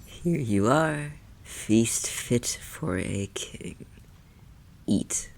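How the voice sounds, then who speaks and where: Possessive demon played by an ASMR roleplayer: condescending, formal, creaky delivery that often sinks to a whisper, over audible background noise. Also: medium pitch, a little mellow. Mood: commanding, disdainful. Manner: condescending, teasing, imperious, theatrically formal. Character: possessive, haughty demon toying with a human captive.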